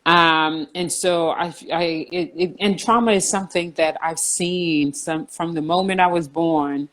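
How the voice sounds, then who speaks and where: A woman in her forties from New Jersey, a counselor speaking in a measured, earnest way with frequent ums. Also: lowish voice, fairly even intonation, fairly bright tone. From New Jersey, USA. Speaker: guest counselor. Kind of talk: casual video chat interview.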